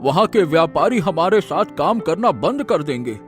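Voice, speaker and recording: A man's voice: fairly high pitch, big pitch swings, moderate pace. A man playing a kindly, trusting, elderly factory owner in a cartoon moral story, with a fatherly manner. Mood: worried. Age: older. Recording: music behind the voice.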